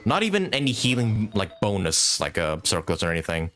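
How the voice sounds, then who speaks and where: A man's voice: on the low side, very animated, a little fast, fairly bright tone. A man doing casual live commentary as a game streamer, thinking aloud as he plays and swearing casually.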